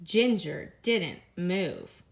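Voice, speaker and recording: A woman teacher, reading a picture book aloud to her students in an expressive way, slowing down on repeated phrases and lifting her voice for the characters' lines. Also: lowish voice, creaky. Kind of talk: read-aloud storytelling.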